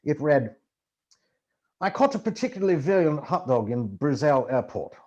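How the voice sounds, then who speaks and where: A man reading a book aloud to camera in a theatrical, expressive way, like an amateur voice actor. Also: a little high, moderate pace, dull audio.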